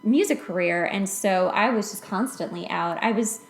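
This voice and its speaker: A woman's voice: mid-range voice, normal speed, reverberant. An American woman in her late twenties tells personal stories casually, in a chatty, self-deprecating way, as a podcast host. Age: late 20s. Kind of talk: casual personal storytelling in conversation.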